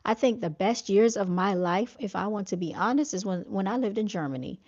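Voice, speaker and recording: A woman's voice: mid-range voice, brisk, darkish tone, lo-fi sound. A woman from North Carolina with a Southern accent, chatting casually to her viewers and reading their comments, with lots of fillers and "y'all.". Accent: Southern American. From North Carolina, United States.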